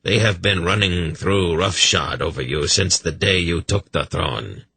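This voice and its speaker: A man reading an audiobook voices a big, loyal older advisor who speaks in a calm, blunt way. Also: low voice, a little slow, vocal fry, husky, dry recording, heavily acted.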